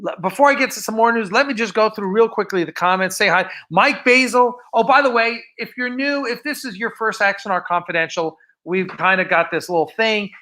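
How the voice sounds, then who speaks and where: A man's voice: sits high, brisk, hardly any pauses, sharp tone. A man hosting a casual livestream, chatting in a rambling, informal way. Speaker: host of a fan production livestream. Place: film set of a starship bridge.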